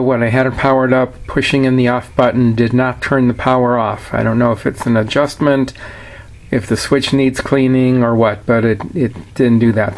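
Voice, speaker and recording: A man giving a casual, plainspoken product walkthrough in a matter-of-fact way, like a seller showing an item. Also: medium pitch. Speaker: seller demonstrating a vintage radio.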